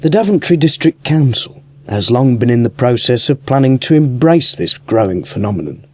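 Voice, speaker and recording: A male reporter narrates a radio documentary in a scripted style. His voice sits at an average pitch and has a husky edge. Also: very animated, normal speed, darkish tone, no room sound. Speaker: reporter and interviewer.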